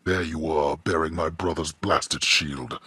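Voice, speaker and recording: A man playing a stern, imperious god of the underworld and disapproving father in a video game, with haughty, formal, deliberate delivery and a gravelly, husky voice. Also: a bass voice, fairly even intonation, bright, forceful tone, dry recording, clean studio sound, full character voice. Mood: stern menace. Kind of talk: theatrical video game voice acting.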